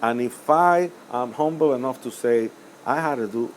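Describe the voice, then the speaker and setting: An older man from Cuba, a musician answering interview questions in a rambling, hesitant way with many pauses and self-corrections. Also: mid-range voice, a little hiss or hum. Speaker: musician guest.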